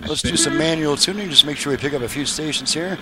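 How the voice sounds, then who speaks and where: An older American man in his sixties giving a casual, plain-spoken, halting demonstration as a repairer, on a headset mic in a home workshop. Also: a little high, very noisy. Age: older, around 60s. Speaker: radio repairer and video host. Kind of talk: casual hands-on product demonstration. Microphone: headset microphone.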